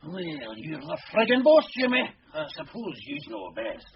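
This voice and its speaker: High-pitched belligerent Scottish henchman. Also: very animated, unhurried, lo-fi sound, crowd noise. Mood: grudging, sour. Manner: pugnacious, slangy. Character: belligerent Scottish henchman, rough and grudgingly loyal.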